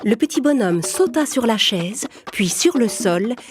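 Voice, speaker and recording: A woman's voice: fairly high pitch, expressive, unhurried, faint music behind. A woman narrating a scripted children's story as storyteller.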